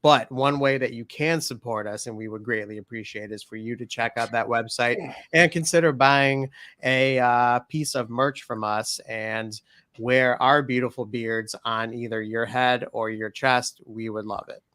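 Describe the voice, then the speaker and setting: A man's voice: mid-range voice, steady tone, unhurried, pushed and bright, dry recording. A man co-hosting a casual livestream chat, upbeat and joking as he plugs merch. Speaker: co-host.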